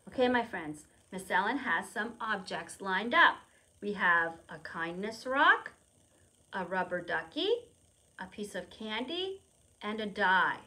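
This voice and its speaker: A woman presents to preschoolers with a bright, lively voice. She takes her time, explains things in simple, repeated phrases and invites the children to take part. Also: medium pitch, measured pace. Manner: simple repetitive explanations with questions and prompts for small children; refers to self in third person. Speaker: preschool storytime presenter at a public library. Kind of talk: children's lesson, demonstrating to young viewers at home.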